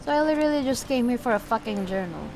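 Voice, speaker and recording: A woman's voice: a little high, lively intonation, fast talker, darkish tone. A woman giving casual, sweary let's play commentary as a game streamer, reacting aloud in an informal way. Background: video game music.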